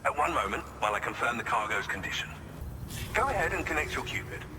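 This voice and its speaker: A man playing a brisk, formal cargo recipient in a video game cutscene, businesslike in manner. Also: mid-range voice, big pitch swings, natural voice. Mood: brisk, composed. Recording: long hall reverb, clear background noise.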